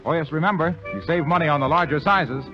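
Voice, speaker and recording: High-pitched male 1930s radio announcer. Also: quick pace, over loud music. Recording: muffled 1930s radio broadcast.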